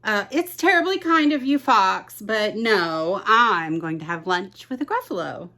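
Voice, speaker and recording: A woman voicing a small, clever mouse in a picture book read aloud, speaking politely in a squeaky voice. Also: animated, a little slow, pushed and bright.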